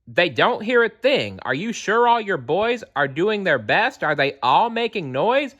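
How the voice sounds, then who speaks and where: Gentle big elephant, guy reading it out, earnest and warm-hearted, bit raised and bouncy. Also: high-pitched, big pitch swings, a little slow, muffled recording, voice pushed up, exaggerated voice. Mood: anxious. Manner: earnest, encouraging.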